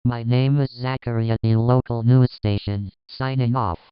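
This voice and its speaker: A man playing a local news reporter, reading a scripted bulletin in a measured, formal way. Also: mid-range voice, steady tone, clear, resonant tone, dry recording, dull audio, natural voice. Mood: measured, serious. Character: male local TV news reporter announcing a disaster.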